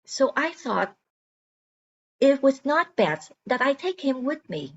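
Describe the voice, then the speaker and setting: Actress as wounded mother pleading for custody, high voice with wide swings, dull lo-fi recording. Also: very animated, exaggerated voice. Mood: earnest, regretful. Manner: deliberate, halting, pleading. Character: earnest, wounded mother fighting for custody of son. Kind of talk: dramatic stage monologue. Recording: dull lo-fi sound.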